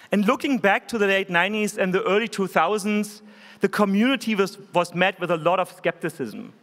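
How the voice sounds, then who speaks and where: A man in his forties with a German accent, giving an earnest, measured keynote speech from a conference stage podium microphone. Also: high voice, fairly bright tone. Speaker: keynote speaker. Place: large conference hall stage. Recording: hall reverb.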